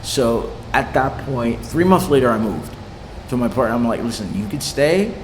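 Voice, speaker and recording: A man's voice: medium pitch, animated, normal speed. A young man who grew up in Haiti, talking casually in an interview with loose slang, swearing, frequent fillers and easy laughter. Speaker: interviewed music producer. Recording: echoey room with long reverb and clear background noise.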